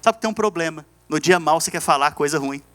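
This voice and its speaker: A man's voice: fairly high pitch, fast talker, fairly bright tone. A man with a Brazilian accent preaching an evangelical sermon as a pastor, emphatic, repeating key phrases and calling on the congregation to respond.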